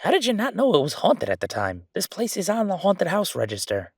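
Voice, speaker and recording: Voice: medium pitch, wildly swooping pitch, a little fast, darkish tone, no room sound, studio quality. An actor playing a chatty, sardonic ghost roommate in a roleplay audio, talking in a casual, teasing, exclamatory way. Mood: incredulous, teasing. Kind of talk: roleplay audio acting, conversational.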